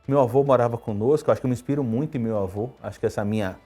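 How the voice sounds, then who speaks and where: A man around fifty from Salvador, a guest telling his life story in a relaxed interview. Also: husky, faint music behind. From Brazil (Salvador, Bahia). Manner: quick, storytelling, lively pitch swings. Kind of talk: conversational interview answers.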